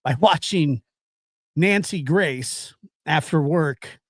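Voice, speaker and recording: A man around forty hosting a casual live-stream chat, halting and circling back over his phrases. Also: fairly high pitch, slightly rough, no echo, studio quality. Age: late 30s to early 40s.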